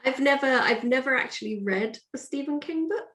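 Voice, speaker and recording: A woman's voice: high-pitched, animated, brisk, a bit bright. A woman chatting casually as a guest author, informal and a little self-deprecating. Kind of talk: casual chat. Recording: echoey room.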